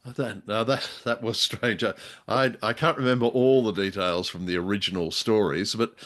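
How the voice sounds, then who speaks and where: A man co-hosting a radio drama show, talking casually in a halting, wordy, bookish way. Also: fairly low pitch, fairly even intonation, fairly bright tone.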